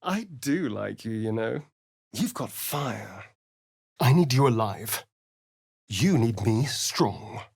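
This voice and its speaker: An actor playing a suave, sardonic vampire rogue in a video game, vain and theatrical, with an arch, drawling delivery. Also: male voice, medium pitch, animated, takes it slowly, gravelly, long pauses, a little mellow, breathy edge, no echo, studio quality, exaggerated voice. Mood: sly, droll. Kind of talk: dramatic video game character lines and narration.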